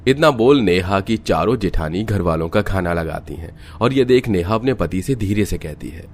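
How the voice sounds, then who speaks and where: A man's voice: low-pitched, lively intonation, moderate pace, soft background music. A man reading scripted storytelling narration for a cartoon family tale.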